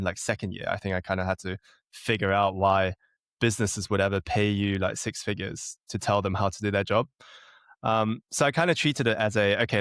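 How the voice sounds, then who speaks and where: A man in his late twenties from Germany is a guest on a podcast, telling his story in a relaxed, self-deprecating way. His voice sits fairly low. It is recorded close and dry. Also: no room sound. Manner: relaxed, self-deprecating, chuckling now and then. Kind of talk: casual interview chat.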